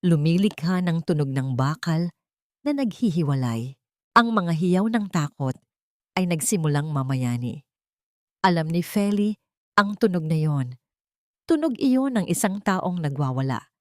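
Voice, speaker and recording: A woman's voice: low voice, expressive, measured pace, pauses often, no echo, studio quality. A woman narrating a scripted drama in a theatrical, suspenseful way.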